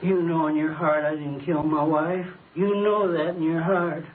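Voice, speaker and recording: A man acting an anxious old husband held as a murder suspect in an old-time radio drama, plaintive and halting. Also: a little high, steady tone, natural voice. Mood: pleading. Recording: tinny, noisy vintage radio recording.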